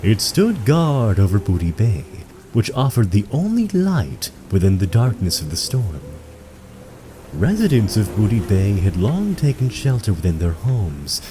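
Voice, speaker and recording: Male narrator, fantasy audio drama. Slightly low voice, expressive intonation, deliberate dramatic phrasing, music underneath. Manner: dramatic, measured storytelling delivery.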